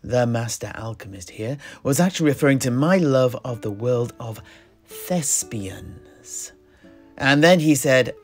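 Rebellious tavern-keeper historian male performer, smooth-talking fantasy schemer with a fry-edged voice and faint music beneath. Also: mid-range voice. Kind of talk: in-character fantasy banter.

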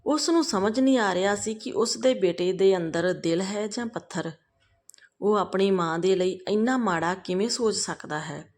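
A woman's voice: medium pitch, moderate pace, darkish tone. Woman narrating a scripted family story.

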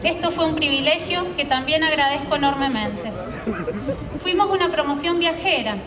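A woman in her early forties with an Argentine accent delivers a measured, reflective speech from notes at an outdoor podium. Her high voice comes through a public address system, caught from far back in the audience with loud reverberation. A large crowd murmurs close around the camera. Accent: Argentine Spanish. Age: early 40s. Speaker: alumni speaker. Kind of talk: formal commemorative speech read aloud. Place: outdoor school courtyard ceremony. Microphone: podium microphone filmed from a distant camera in the crowd.

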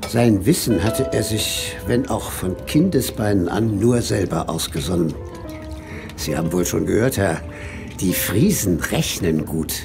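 An actor playing a thoughtful village schoolmaster who tells an old tale in measured, literary phrases for an audio drama. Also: male voice, on the low side, vocal fry, full character voice. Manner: measured, literary storytelling with emphatic swells. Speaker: storyteller. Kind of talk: German audio drama narration.

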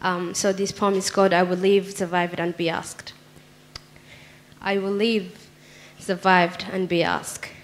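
A young woman from Somalia reads her own poetry aloud, her English shaped by her native Somali. She speaks in an earnest, measured way into a handheld microphone on a small theatre stage. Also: lowish voice, little pitch movement. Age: young adult. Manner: earnest, measured, reading from paper. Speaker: poet. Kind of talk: spoken word poetry reading. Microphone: handheld mic.

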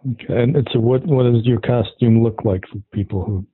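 A man's voice: mid-range voice, steady tone, a little fast, husky, no echo. A man hosting a casual interview over a thin phone line, asking questions in a tentative, curious way. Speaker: podcast interviewer. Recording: thin, narrow telephone line.

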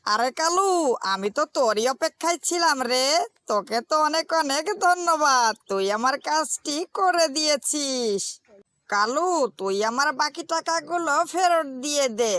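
A woman's voice: high voice, lively intonation, measured pace, a bit bright, no room sound. A woman voicing a gullible, earnest fox uncle with a plaintive manner in a children's cartoon story. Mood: grateful. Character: gullible, anxious fox parent, called uncle.

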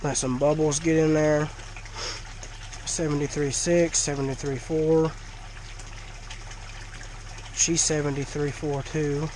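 Congested man, slow and folksy. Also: on the high side, little pitch movement, noisy background. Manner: folksy, chatty. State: congested, talking through a blocked nose. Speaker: fish-keeping vlogger. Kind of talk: casual vlog to camera. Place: dim cinder-block garage or shed, indoors. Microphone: action camera worn by the speaker.

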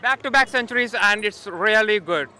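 A man's voice: high-pitched, moderate pace, bright, forceful tone. A man giving casual post-match opinions as a sports fan in a street interview outside a stadium. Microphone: interviewer's handheld microphone. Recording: crowd chatter outside stadium.

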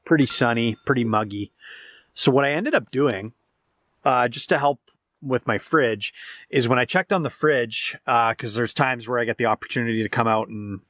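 A man's voice: medium pitch, a bit bright, no echo. A man in his thirties chatting casually and ramblingly to a handheld camera, as an outdoor vlogger. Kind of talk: casual outdoor vlog chat. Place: outdoors beside and inside a parked truck. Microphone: handheld camera at arm's length.

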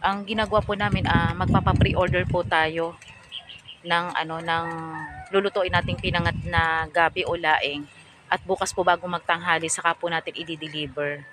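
A woman's voice: lowish voice, level tone, normal speed, noisy background. A middle-aged woman narrating a casual walking vlog outdoors in a farm field, talking politely and chattily with frequent fillers into a phone on a selfie stick. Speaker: vlogger.

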